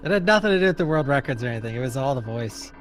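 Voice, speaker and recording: A man's voice: mid-range voice, lively intonation, faint music behind. A man hosting a game stream, teasing his co-player in casual, joking voice-chat banter. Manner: teasing, playful, quick to joke. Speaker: streamer host.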